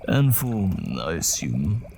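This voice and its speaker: A roguish haggler from a fantasy audio drama, acted by a man, weary from battle but cheeky. His voice is creaky and gravelly, swinging widely in pitch as he teases with wry gallantry. Faint noisy ambience runs underneath. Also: big pitch swings, slow, a little mellow, exaggerated voice. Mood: weary, practical. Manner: wry, teasing banter.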